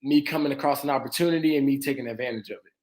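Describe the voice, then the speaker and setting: A man in his late twenties from Atlanta, a dentist guest speaking in a relaxed, casual way with frequent fillers and easy laughter. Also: medium pitch, very fast. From Atlanta, Georgia. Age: 20s. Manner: relaxed, laughs easily, frequent "uh" and "you know". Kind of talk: casual interview talk.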